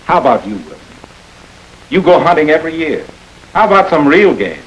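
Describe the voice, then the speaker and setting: An earnest, crusading district attorney. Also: male voice, a little high, very animated, a little fast, highs cut off, a little hiss or hum. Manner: earnest and persuasive, speechmaking. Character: idealistic new district attorney rallying men. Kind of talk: 1930s film crime drama.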